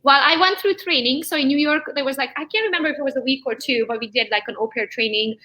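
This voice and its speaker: A woman around forty, from Transylvania in Romania with Hungarian as her first language, telling her story as a real estate agent guest in a lively way with frequent laughs. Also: high voice, fast talker. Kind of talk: interview guest chat.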